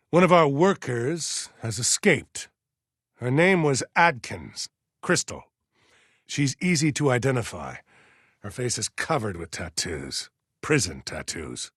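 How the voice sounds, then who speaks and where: An actor playing a stern, pious, authoritarian militia colonel in a video game, middle-aged and husky, giving measured orders with righteous solemnity. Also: male voice, mid-range voice, fairly bright tone, no room sound, studio quality, full character voice. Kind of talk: dramatic video game voice acting.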